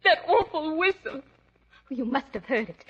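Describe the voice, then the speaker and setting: A woman's voice: sits high, expressive, a little slow, a bit bright. An actress playing a frightened, frantic young woman in a breathless, pleading 1940s radio drama style. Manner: breathless, pleading, repeats herself anxiously. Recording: noisy muffled 1940s radio recording.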